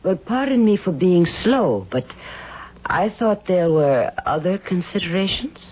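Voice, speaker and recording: A woman's voice: fairly low pitch, animated, a little slow, slightly rough. A woman playing an insolent, teasing young actress, ambitious yet wary, in a radio drama. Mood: cool, wary. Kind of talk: radio drama acting, with diary narration. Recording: muffled old radio drama, faint music behind.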